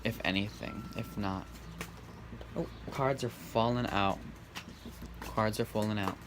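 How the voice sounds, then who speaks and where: A man giving a casual tarot reading in a calm, serene, monotone way. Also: fairly low pitch, a little slow. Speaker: tarot reader. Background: faint background noise.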